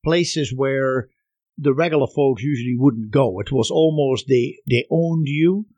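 A male radio host speaks with a foreign accent. His pitch sits in the middle, and he talks in halting bursts with fillers and restarts. The studio sound is dry and close, with no room echo. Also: a little mellow, lo-fi sound. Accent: foreign accent, not specific. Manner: hesitant, many restarts and fillers. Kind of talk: conversational radio interview.

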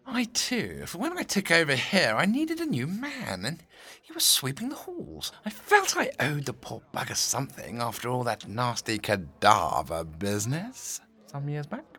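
Man acting a bright, blustery old chum. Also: mid-range voice, huge pitch swings, normal speed, slightly rough, full character voice. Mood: chatty, jovial. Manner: exuberant, blustery, self-deprecating. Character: boisterous, jovial male old school chum, office manager. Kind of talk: audio drama acting.

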